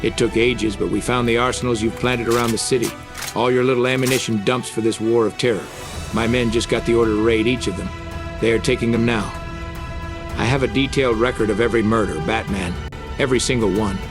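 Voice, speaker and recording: An actor playing a resolute, indignant crime fighter in a motion comic dub, speaking in a serious, insistent way. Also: male voice, mid-range voice, steady tone, fairly quick, natural voice. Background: dramatic music.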